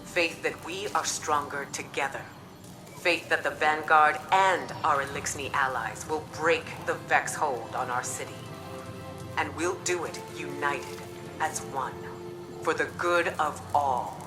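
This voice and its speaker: Firm, low-voiced commander. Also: female voice, music in the background. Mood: rousing, firm. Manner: measured, firm, eloquent. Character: principled, authoritative female military leader, calm and resolute. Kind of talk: scripted video game cutscene dialogue.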